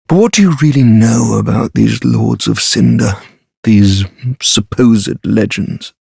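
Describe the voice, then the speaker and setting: A man voicing a bitter, defeatist warrior in a fantasy game, gravelly and husky, with a sneering, world-weary drawl. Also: fairly low pitch, unhurried, darkish tone, no room sound, studio quality, heavily acted. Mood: mocking. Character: bitter, defeatist, sardonic male deserter warrior.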